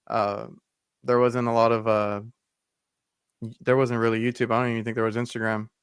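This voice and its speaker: Smooth-voiced man hosting a chat. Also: mid-range voice, flat delivery, brisk, dry recording, studio quality. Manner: friendly, full of fillers and restarts. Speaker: podcast host, HVAC technician. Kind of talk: casual interview talk.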